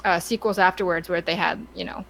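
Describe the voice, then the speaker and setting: A woman's voice: on the low side, steady tone, a little fast, gravelly, a bit bright, poor audio. A woman chatting casually and giving her opinions on a podcast, stammering and restarting her sentences often. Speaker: podcast co-host.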